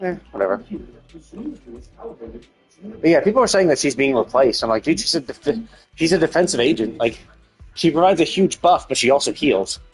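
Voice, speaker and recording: A man streaming a game live, talking casually and haltingly as he works through numbers to himself. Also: a little high, lively intonation, soft background music, poor audio. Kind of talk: casual gaming stream commentary, thinking aloud.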